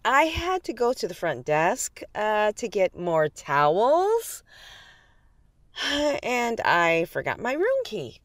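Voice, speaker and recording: A woman's voice: mid-range voice, wildly swooping pitch, slow, no room sound. Lilting, playful bride acted by a woman. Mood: casual. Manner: coaxing, teasing. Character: soothing, playful bride humoring her nervous husband. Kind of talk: horror radio drama acting.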